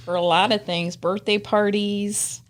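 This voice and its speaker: A woman in her fifties, a podcast guest chatting warmly about her childhood and laughing often. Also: medium pitch, level tone, unhurried. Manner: warm, laughs often while reminiscing. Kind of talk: casual reminiscing chat.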